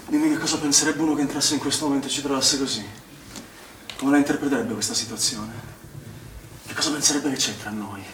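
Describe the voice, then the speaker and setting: A man's voice: medium pitch, steady tone, fast, a bit bright, close to an everyday voice. An actor playing a defiant, irritated male swimming instructor with a curt, challenging manner in an Italian stage drama. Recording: distant echoey stage recording with background noise.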